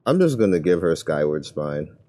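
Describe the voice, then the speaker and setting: A man's voice: sits low, sing-song, moderate pace, mellow. A man giving casual gameplay commentary, thinking aloud in informal, slangy, muttered asides. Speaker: gaming video creator.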